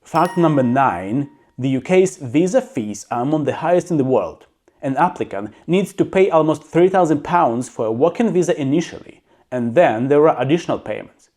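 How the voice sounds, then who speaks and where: A man's voice: a little high, lively intonation, moderate pace, darkish tone. Opinionated man, English as a second language. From abroad, living in the UK. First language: not English. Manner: opinionated, plain-spoken. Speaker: YouTuber. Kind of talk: reading facts with personal commentary.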